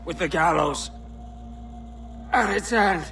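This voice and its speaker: An actor playing an embittered, stern turncoat pirate hunter in a video game, preaching in a declamatory way. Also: male voice, on the high side, slow pace, gravelly, sharp tone, far from the mic, slight background noise, exaggerated voice. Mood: bitter, reproachful. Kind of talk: video game cutscene acting.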